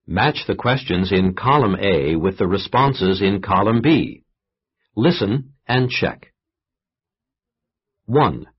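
Slow, husky male course announcer. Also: fairly low pitch, expressive. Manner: measured, formal announcing. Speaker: exercise announcer. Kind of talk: scripted instructional narration. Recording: muffled lo-fi tape.

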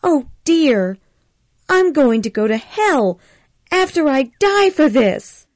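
A woman's voice: high-pitched, sing-song, slow, no echo, voice pushed up, exaggerated voice. A woman reading a story aloud, voicing a headstrong young Southern belle who frets and pleads.

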